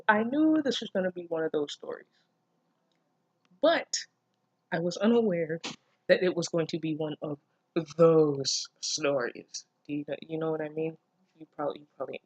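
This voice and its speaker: A woman's voice: fairly low pitch, no echo, poor audio. A woman reading fan fiction aloud and reacting casually, with sarcastic, incredulous, foul-mouthed interjections.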